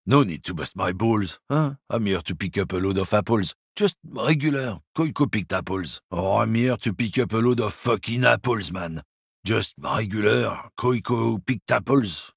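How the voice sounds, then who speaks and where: A smug, bigoted lorry driver in a video game, gravelly and husky. His pitch swings up and down a lot as he confides and lectures. The studio recording is dry and close. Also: no echo. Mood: evasive. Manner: smug, conspiratorial, chuckling.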